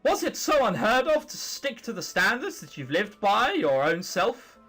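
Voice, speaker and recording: A man's voice: sits high, big pitch swings, moderate pace, sharp tone, slightly rough, heavily acted. A man voicing a fretful, embarrassed young female troll who narrates her story in an earnest, dramatic way. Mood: weary, wistful. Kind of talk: visual novel narration read aloud.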